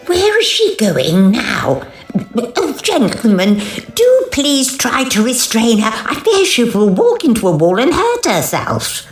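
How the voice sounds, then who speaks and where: A woman's voice: a little high, wildly swooping pitch, slow, roomy sound, soft background music, exaggerated voice. A woman playing a genteel, easily shocked Edwardian lady in a period audio drama, polite and exclamatory. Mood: alarmed, worried. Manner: polite, exclamatory, old-fashioned.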